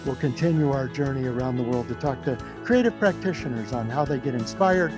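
A man's voice: medium pitch, brisk, over music. A man speaking as the host of an interview podcast.

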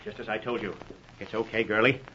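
A man's voice: on the low side, fairly even intonation, brisk, sharp tone, slight background noise. A man playing a cocky, cold-blooded crook in 1940s radio drama style, talking in tough-guy slang. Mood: smug. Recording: muffled old radio recording.

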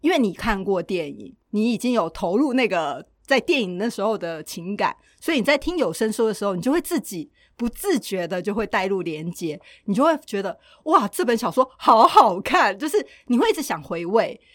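A woman co-host with a Taiwanese Mandarin accent chats casually in a medium-pitched voice. She sounds curious and engaged and chimes in readily, recorded dry with hardly any room sound. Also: sing-song, brisk, few pauses, no room sound. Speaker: podcast co-host. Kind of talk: casual chat.

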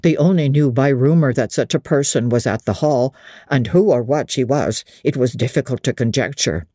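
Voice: medium pitch, normal speed, dark tone, no echo, studio quality, natural voice. A reader voicing an older male country innkeeper who tells gossip in a hesitant, confiding rustic way, in an audiobook. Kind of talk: audiobook character reading.

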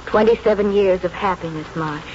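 An actress playing a devoted, reassuring middle-aged wife, gentle and encouraging, in a 1940s radio drama. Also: female voice, fairly low pitch, brisk, natural voice. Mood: tender, reassuring. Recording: muffled, noisy 1940s radio broadcast.

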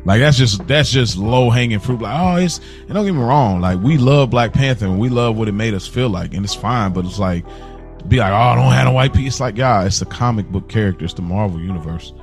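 A man's voice: on the low side, expressive, fairly quick, faint music behind. An American man co-hosting a podcast, talking casually and emphatically, often repeating himself to drive a point home. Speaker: podcast co-host.

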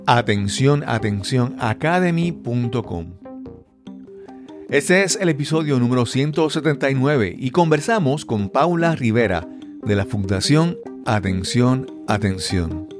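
Man, podcast host, scripted narration, medium pitch, music bed.

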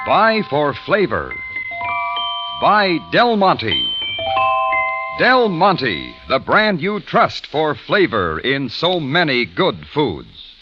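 A man's voice: on the high side, wildly swooping pitch, extremely slow. A man announcing on an old radio program, polished and emphatic. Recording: dull old radio recording with music.

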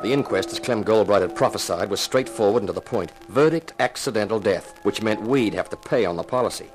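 A cool-headed, hard-boiled investigator played by a male actor with a lowish voice. He is wry and matter-of-fact, both narrating and acting in 1950s radio detective style. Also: fairly quick, music in the background, natural voice. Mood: tense, narrating. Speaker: narrator and lead.

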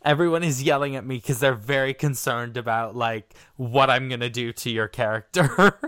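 A man's voice: medium pitch, normal speed, a bit bright, smooth, no echo. A man hosting a casual podcast interview, asking questions as the series creator.

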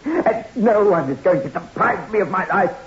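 An actor playing a bewildered, frightened castaway desperate to live, in an agitated 1960s radio horror style. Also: male voice, sits high, lively intonation, normal speed, fairly bright tone. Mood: defiant disbelief. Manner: dramatic, agitated, pleading. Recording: noisy, muffled 1960s radio recording.

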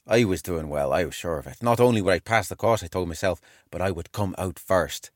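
An actor playing an earnest young British army clerk in his twenties, reading a wartime diary aloud in a measured, expressive way. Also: low-pitched, dry recording, studio quality, close to an everyday voice. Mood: earnest, keen. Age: 20s.

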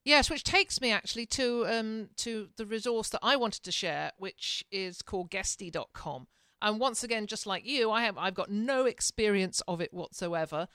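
Woman co-host, English accent softened by Canada, smooth, chatty, laughs easily. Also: medium pitch, moderate pace, no room sound. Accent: English, softened by years in Canada. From England. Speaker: podcast co-host. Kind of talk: casual chat.

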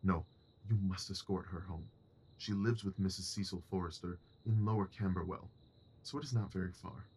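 A man playing a shrewd, commanding Victorian gentleman detective in an audio drama, precise, assured and dryly superior. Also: sits low, moderate pace, a little hiss or hum, lightly acted. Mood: brisk, instructive.

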